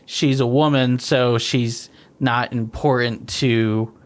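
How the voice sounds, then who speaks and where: A man's voice: medium pitch, fairly even intonation, slow pace. A man co-hosting a casual beer-tasting podcast, rambling through his points with lots of restarts and laughter. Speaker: podcast co-host. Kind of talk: casual chat over beers.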